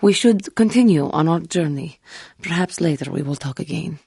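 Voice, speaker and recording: An actress playing a solemn, haunted alien cat-woman Jedi in a video game, husky and formal, with guarded intensity. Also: low voice, animated, warm tone, no room sound, studio quality, full character voice. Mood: contrite, earnest. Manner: formal, measured, earnest speech with guarded intensity. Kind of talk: video game character dialogue.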